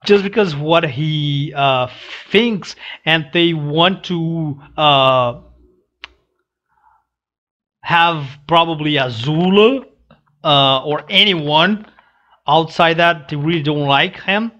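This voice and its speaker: A young man who is not a native English speaker, giving casual reaction commentary in a halting, hesitant way into a headset mic. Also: on the high side, sharp tone. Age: young adult. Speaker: reaction channel host. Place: small room indoors. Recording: dull lo-fi headset audio.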